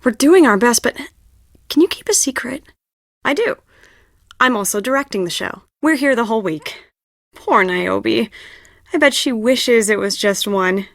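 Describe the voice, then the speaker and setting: Young woman stage director, high voice, dry close sound, theatrical, confiding, stressed, adventure game dialogue. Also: lively intonation, measured pace, creaky, pauses often, a bit bright, no room sound, exaggerated voice. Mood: brisk, friendly. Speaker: video game voice actor.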